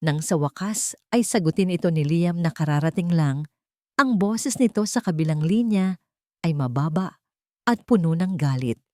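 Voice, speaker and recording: Low-pitched woman narrating a dramatic story. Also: lively intonation, normal speed, dark tone, no room sound, studio quality.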